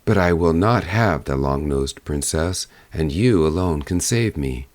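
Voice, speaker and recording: Storybook prince, young yet low-pitched, earnest and commanding, close dry sound; male reader plays him. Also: expressive, unhurried, a little mellow, no echo. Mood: earnest, hopeful.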